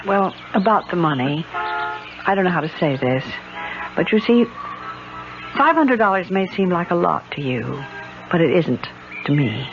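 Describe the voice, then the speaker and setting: An actress playing a kindly, well-off city lady in a 1970s radio drama, speaking politely and hesitantly as she gently probes. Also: on the low side, expressive, unhurried, long pauses, over music. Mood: gently persuasive. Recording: dull, noisy old radio broadcast.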